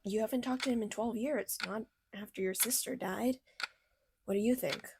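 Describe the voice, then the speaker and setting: A woman voicing a bubbly, caring female cartoon character in a radio play, chatty, motherly and expressive. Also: on the high side, normal speed, background noise, lightly acted. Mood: awkward. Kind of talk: fictional radio theater acting.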